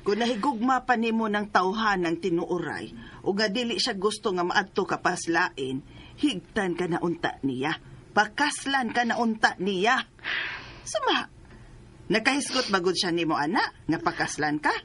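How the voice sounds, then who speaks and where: A woman's voice: mid-range voice, big pitch swings, normal speed, fairly bright tone, slightly rough. A woman acting a stern, sceptical mother of a grown daughter in a Filipino radio drama, scolding and insistent. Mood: reproachful.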